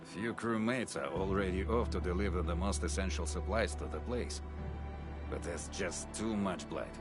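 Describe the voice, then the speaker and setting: An actor playing a steady, down-to-earth male crew worker in a video game, matter-of-fact, with music behind him. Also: low-pitched, normal speed, natural voice. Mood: matter-of-fact, concerned.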